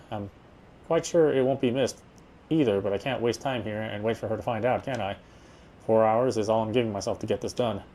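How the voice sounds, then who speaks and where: A man's voice: lowish voice, dark tone, a little hiss or hum. A man reading a first-person game story aloud, now and then stumbling over a word and repeating it.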